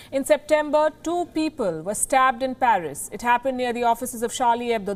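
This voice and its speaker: A woman anchoring the news, reading a script in an emphatic, dramatic way with deliberate pauses. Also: high voice.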